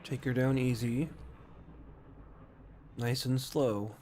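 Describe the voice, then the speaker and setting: A man's voice: medium pitch, fairly even intonation, takes it slowly, dark tone, slight background noise. A man giving casual commentary as he plays a space flight game, with short role-play voice commands.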